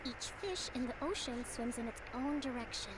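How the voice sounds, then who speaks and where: An actress playing a serene, dignified young female video game character with a measured, solemn delivery. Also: fairly high pitch, expressive, lightly acted. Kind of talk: scripted video game voice acting. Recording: game audio under loud noise.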